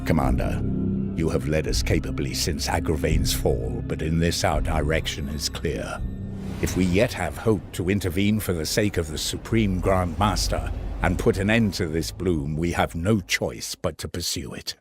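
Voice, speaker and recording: An actor playing a stern, duty-bound warrior knight in a sci-fi game cinematic, speaking gravely and formally with command. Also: male voice, a bass voice, moderate pace, music in the background. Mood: resolute, formal. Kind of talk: video game cinematic dramatic acting.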